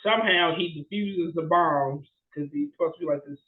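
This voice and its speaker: A man with a high voice talks casually as a podcast co-host. He tells stories in an animated way, often seeking agreement. The recording is echoey and somewhat muffled, with limited bandwidth. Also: moderate pace. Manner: animated storytelling with frequent "right?" check-ins. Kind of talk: casual movie chat, retelling plots.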